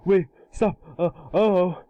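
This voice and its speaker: Man playing a cocky boy, higher-pitched. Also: steady tone, measured pace, lo-fi sound, a little hiss or hum. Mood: worried. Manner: boastful, casual kid slang. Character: cocky, excitable boy turned fire alien. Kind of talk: animated series table read.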